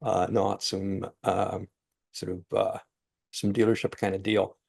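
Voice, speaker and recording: Gravelly, halting male webinar host. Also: low-pitched, little pitch movement, darkish tone. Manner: halting, filler-heavy questioning.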